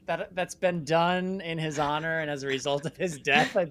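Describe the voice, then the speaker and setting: A man speaking as the guest in a candid interview, telling stories in an animated way. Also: on the high side, normal speed, very noisy. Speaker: guest, filmmaker and company CEO.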